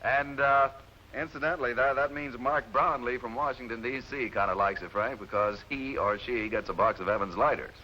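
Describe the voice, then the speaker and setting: A man's voice: medium pitch, moderate pace, pushed and bright, dull audio, slight background noise. A man hosting a live television game show with loose banter, on an old 1950s TV soundtrack. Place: television studio.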